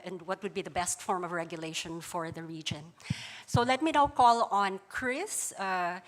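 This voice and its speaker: A woman's voice: medium pitch, a bit bright. A woman moderating a conference panel, thanking one speaker and introducing the next with many hesitations. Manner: hesitant, many filler sounds.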